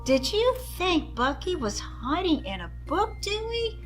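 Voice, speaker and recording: A woman's voice: very high-pitched, very animated, unhurried, soft background music, voice pushed up, exaggerated voice. A woman reading a storybook as a knowing, kindly female schoolteacher who gives instructions and knowing replies.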